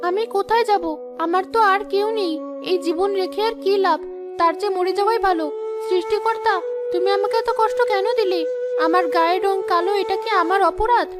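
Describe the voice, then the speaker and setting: A woman's voice: extremely high pitch, brisk, over loud music, voice pushed up. A woman voicing a sorrowful, kind-hearted outcast young wife in a cartoon fairy tale, with a plaintive, pleading manner. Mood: despairing.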